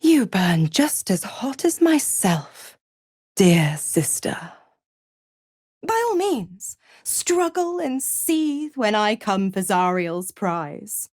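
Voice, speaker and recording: Smug seductive devil woman for a game, slow n silky, very swoopy, mocking, kinda breathy. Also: medium pitch, very animated, darkish tone, no room sound, clean studio sound, full character voice. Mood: taunting. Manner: theatrical, mocking, silky condescension with breathy drops into whispers. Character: seductive, scheming female devil who trades in pacts. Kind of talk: video game character acting.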